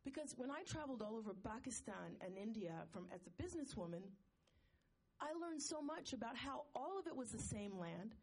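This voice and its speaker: A woman in the audience of a panel discussion, making a long, earnest comment in a measured way. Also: fairly high pitch, animated, a little mellow, breathy edge. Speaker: audience member asking a question. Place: conference hall audience.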